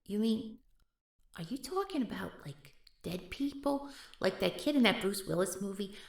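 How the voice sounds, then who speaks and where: A woman's voice: mid-range voice, lively intonation, moderate pace, vocal fry, slightly rough, no room sound, studio quality. A woman voicing a nervous, easily spooked young woman who rambles in a scripted audio roleplay. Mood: rambling.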